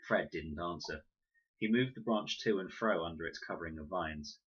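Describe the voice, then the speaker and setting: A man's voice: sits low, normal speed, fairly bright tone, breathy edge, highs cut off. A man reading a children's adventure novel aloud as scripted storybook narration. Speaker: audiobook reader.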